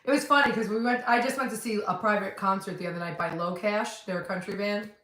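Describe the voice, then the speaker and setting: Woman, New Jersey accent, rambling blunt chatter, mid pitch, echoey room. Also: quick pace, a bit bright, distant mic. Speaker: podcast co-host. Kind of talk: casual chat.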